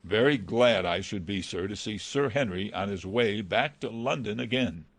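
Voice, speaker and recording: A man's voice: lowish voice, normal speed, fairly bright tone, no echo. A man playing an anxious, deferential butler in a radio mystery drama, earnest and hesitant. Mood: agitated. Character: anxious male butler, deferential, dreading villainy.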